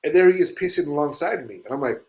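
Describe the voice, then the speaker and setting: A middle-aged man from Chile telling rambling, profanity-laced stories in a casual chat, animated, with frequent asides. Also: a little high, fast. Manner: rambling, swearing, animated storyteller. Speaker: musician telling stories on a show.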